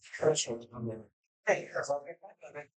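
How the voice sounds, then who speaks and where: A man talking quietly off to the side during a break, his words muttered and half-heard. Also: mid-range voice, slow pace.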